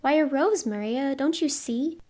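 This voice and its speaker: A woman's voice: high-pitched, measured pace, mellow. A woman playing a cheerful, doting household servant in an audio drama. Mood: admiring, bright.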